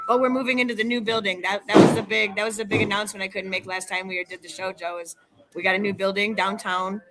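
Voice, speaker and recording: A woman's voice: mid-range voice, fairly even intonation, fast talker, fairly bright tone, low-quality recording. A woman chatting casually and enthusiastically as a wrestling trainer and podcast guest. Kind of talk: casual chat.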